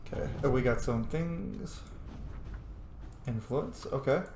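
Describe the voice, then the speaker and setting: A man hosting a gaming video in a lively, swooping middle-pitched voice. He thinks aloud casually and playfully, swearing a little, in a noticeably echoey room. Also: takes it slowly, vocal fry, background noise. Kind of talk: casual let's-play commentary.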